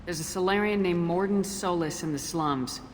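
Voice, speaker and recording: An actress playing a determined, coolly intimidating space soldier in a game, speaking firmly and directly with no nonsense. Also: female voice, fairly low pitch, moderate pace, natural voice. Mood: businesslike. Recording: reverberant, with faint noise.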